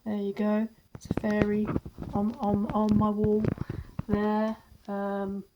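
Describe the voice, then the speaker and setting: A woman chatting about a book at home, her nose blocked up from a cold. She rambles loosely, trips over and repeats her words, and laughs often. Her pitch sits at an ordinary middle height. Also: completely flat delivery, slow, dull audio, heavy background noise. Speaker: book reviewer. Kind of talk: casual chat to camera.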